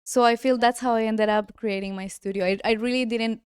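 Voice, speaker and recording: Very clear-voiced young Colombian woman. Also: a little high, steady tone, fairly quick, no room sound, studio quality. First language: Spanish. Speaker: interview guest, studio owner. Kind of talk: casual chat.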